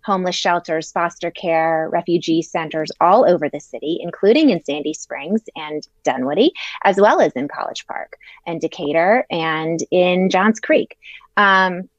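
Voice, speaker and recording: Woman in her 30s, lowish voice, measured answers, dull dry audio. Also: no echo. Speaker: nonprofit leader, guest. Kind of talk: interview answers.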